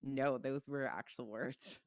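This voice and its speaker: Animated, informal man from Czechia, dry sound. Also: mid-range voice, takes it slowly, clear, resonant tone, no echo. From Czech Republic. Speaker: streamer playing a dispatcher in online roleplay. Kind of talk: casual banter in game roleplay.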